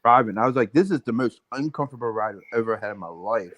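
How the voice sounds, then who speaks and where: A man's voice: medium pitch, fast, fairly bright tone. A man chatting casually on a livestream in a plain-spoken way.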